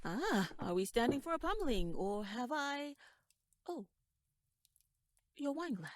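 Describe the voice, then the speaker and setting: A woman playing a shrewd, teasing royal noblewoman in an ASMR audio roleplay, speaking softly in a wry, courtly, measured way that slips toward a whisper. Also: high voice, very animated, no room sound. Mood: cautious, curious.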